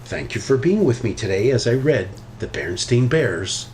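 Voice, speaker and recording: A man reading a children's story aloud with expressive, animated delivery. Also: medium pitch, normal speed, slight background noise. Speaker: storybook reader.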